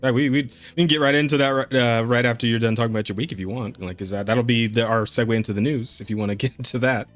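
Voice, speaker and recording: Young male podcast host, mid-pitched, rambling, repeats words, laughs a lot, voice-call audio. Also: fairly even intonation, racing, few pauses, soft background music. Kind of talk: casual podcast chat. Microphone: Discord call.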